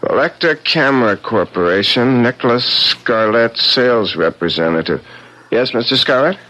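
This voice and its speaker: A man's voice: medium pitch, expressive, normal speed, sharp tone, rough recording. An actor playing an eager, stubborn young man with a scheme, in a 1970s radio drama, probing and a little hesitant. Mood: wary, probing.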